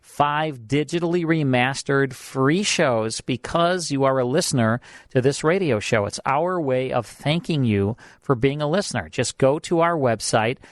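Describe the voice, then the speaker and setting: A man's voice: medium pitch, a little slow, no room sound. An American man presenting conversationally as a radio host, close on a studio microphone.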